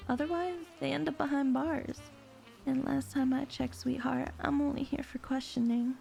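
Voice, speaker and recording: A woman's voice: high-pitched, fairly even intonation, moderate pace, gravelly, darkish tone, soft background music. A woman playing a sly, sweet-talking con artist in an audio drama, with a sassy, teasing, self-assured delivery. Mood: coy, teasing. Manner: sassy, drawling terms of endearment, teasing.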